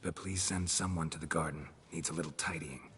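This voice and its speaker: A man playing a gruff, dry-witted monster hunter in a video game, with terse, deadpan delivery and a gravelly voice. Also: rumbling low, brisk, a little hiss or hum.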